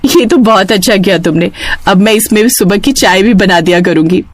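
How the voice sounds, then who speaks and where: A sweet young wife from a cartoon story voiced by a woman. She is coaxing and city-bred, with a medium pitch and a dry, close sound. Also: normal speed, no room sound, close to an everyday voice. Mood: pleased.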